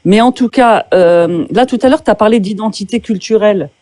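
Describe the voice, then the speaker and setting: Woman interviewer, pointed but halting, warm low-key timbre. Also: dry recording. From France. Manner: hesitant, with many fillers and restarts. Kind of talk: pointed questioning in a street debate.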